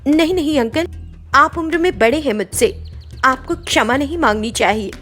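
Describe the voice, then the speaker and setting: A woman's voice: high-pitched, lively intonation, moderate pace, a bit bright, soft background music, voice pushed up. A woman voicing a meek, sorrowful young daughter-in-law, submissive and plaintive. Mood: meek, apologetic.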